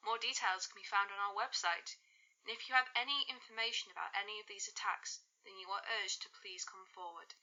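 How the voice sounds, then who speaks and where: A dull, band-limited broadcast sound carries a female news anchor in an audio drama. The voice is sharply bright and mid-pitched, reading formally and with composure. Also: brisk. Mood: composed, grave. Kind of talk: formal newsreading.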